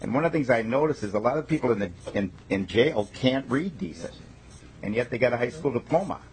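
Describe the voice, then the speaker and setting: A man's voice: medium pitch, lively intonation, quick pace, a little mellow, a little hiss or hum, poor audio. A male state legislator introducing himself at a committee meeting, folksy and emphatic with joking asides.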